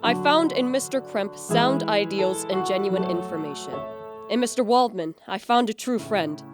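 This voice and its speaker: A woman's voice: mid-range voice, moderate pace, loud music behind the voice, lightly acted. A woman playing an obsessive, ambitious young male science student, narrating earnestly and formally in a radio play. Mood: reflective.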